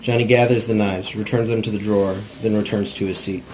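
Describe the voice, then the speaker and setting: A man's voice: on the low side, steady tone, brisk, low-quality recording. A man reading stage directions in an amateur cold table read, plainly and with stumbles over words. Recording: faint background noise.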